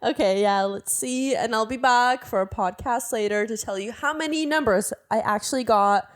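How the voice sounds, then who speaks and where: A woman's voice: a little high, normal speed. A young woman, tipsy and giggly, talking playfully and rambling as a podcast guest, a native Russian speaker. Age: young adult. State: drunk. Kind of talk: tipsy casual podcast chat.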